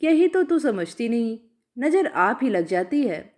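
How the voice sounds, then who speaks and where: A woman voicing a sharp-tongued ageing village widow in a storybook reading, blunt, probing and rustic in manner. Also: fairly high pitch, big pitch swings, measured pace. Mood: concerned.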